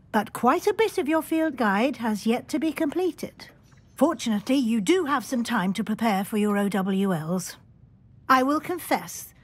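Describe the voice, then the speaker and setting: A senior British witch professor in a video game. She is composed, kindly and shrewd, speaking with measured authority. Her voice is husky and a little high. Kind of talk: dramatic video game voice acting.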